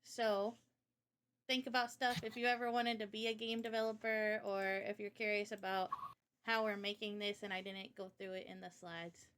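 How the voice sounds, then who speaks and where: Bright-voiced woman chatting on a livestream. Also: mid-range voice, level tone, normal speed, slight background noise. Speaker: guest streamer and game developer. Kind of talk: casual livestream chat and introduction.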